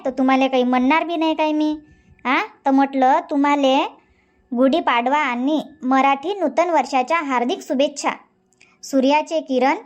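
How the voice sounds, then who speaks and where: Woman acting a village housewife, high voice, rural Vidarbha Marathi accent, chatty, nagging, emphatic, cartoon comedy. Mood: playful, declaiming. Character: bickering but loving Indian village wife and mother-in-law. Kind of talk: cartoon comedy dub dialogue.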